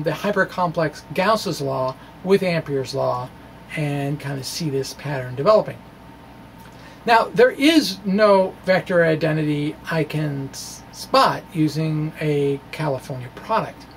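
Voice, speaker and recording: American guy doing a casual physics lecture, pretty high voice, lots of fry, kinda halting with little asides. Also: a little hiss or hum. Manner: halting, informal explaining with trailing asides. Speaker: physics video blogger. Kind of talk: informal physics lecture to camera. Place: small indoor room with bookshelves. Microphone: microphone on a stand close in front.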